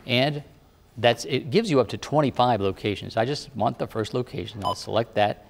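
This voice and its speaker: A man working as a TV meteorologist, calmly explaining a device one step at a time. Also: mid-range voice, normal speed, gravelly, big-room sound, slight background noise. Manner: plain, patient instructive talk. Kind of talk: step-by-step demonstration. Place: TV studio weather set.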